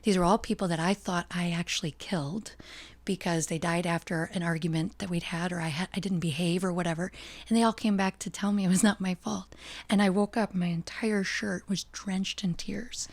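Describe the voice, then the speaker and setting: A woman's voice: fairly low pitch, fairly even intonation, brisk. A middle-aged American woman talking as a podcast guest, reflective and earnest, laughing easily. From United States. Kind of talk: casual interview talk.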